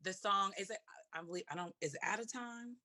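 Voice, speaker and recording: A woman's voice: medium pitch, fairly quick, pushed and bright. A woman co-hosting a podcast, asking curious, hesitant questions with fillers. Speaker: podcast co-host. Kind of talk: casual interview questions.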